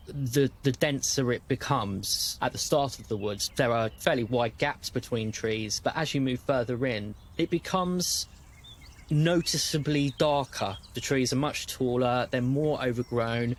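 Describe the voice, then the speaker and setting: A man with a British accent narrating a tabletop game as game master in a relaxed, conversational way. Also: mid-range voice.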